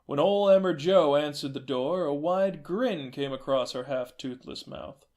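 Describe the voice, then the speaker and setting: A man narrating a fairy tale in a gentle, measured storytelling manner. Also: a little high.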